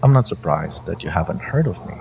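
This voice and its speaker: A man playing an ancient, possessive hive-mind entity in an audio drama, condescending and theatrical, with amused menace and a low, creaky voice. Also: animated, a little mellow, slight background noise, rough recording. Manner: theatrical, condescending, halting with drawn-out menace and chuckles. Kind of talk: scripted audio roleplay addressed to the listener.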